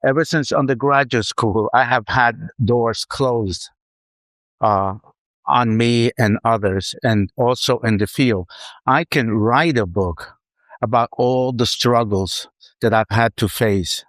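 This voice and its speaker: A middle-aged male academic speaks defiantly on a panel and repeats key phrases for weight. His voice sits at an ordinary pitch. The sound is dry and close. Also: takes it slowly, fairly bright tone, no room sound. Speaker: professor, panelist. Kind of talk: round table remarks.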